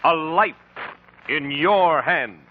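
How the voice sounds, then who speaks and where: A man's voice: fairly high pitch, extremely slow, heavy background noise. A man with an American accent narrating in a theatrical, portentous 1950s radio style. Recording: tinny old radio with loud music. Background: music.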